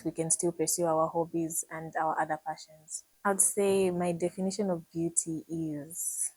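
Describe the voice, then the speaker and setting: Zambian woman, 21, med student, low-ish dry voice, composed and articulate. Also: a little mellow, breathy edge, no echo, rough recording. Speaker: pageant contestant and medical student. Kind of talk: prepared self-introduction to camera.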